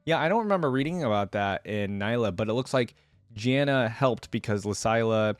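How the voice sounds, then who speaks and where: A man's voice: medium pitch, animated, a little fast, no echo, faint music behind. A man doing casual reaction commentary and reading aloud, with an enthusiastic, slangy, chatty way of talking to viewers. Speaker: reaction video creator.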